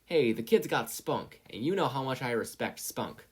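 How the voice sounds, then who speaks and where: A man's voice: mid-range voice, expressive, moderate pace, breathy edge, voice pushed up. A man voicing a spirited, blunt professional heroine in a story reading, breezy and matter-of-fact. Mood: breezy confident.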